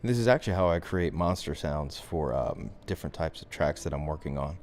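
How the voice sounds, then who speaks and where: Low voiced guy walking thru a software tutorial, casual and chatty, bit of noise behind him. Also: expressive, a little fast. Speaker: instructor. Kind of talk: software tutorial, talking through steps.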